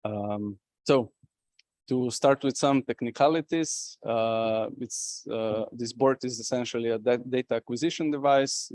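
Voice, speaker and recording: A man's voice: medium pitch, darkish tone, no echo. A man from Slovenia giving a conference presentation as a company representative, talking with many fillers and restarts.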